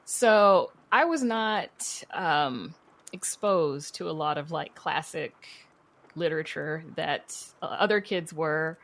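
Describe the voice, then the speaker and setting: Female podcast guest with a low-pitched voice; candid, chatty, conversational storyteller. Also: expressive, slow, fairly bright tone. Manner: candid, chatty, peppered with "you know" and "like". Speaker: podcast guest, horror writer.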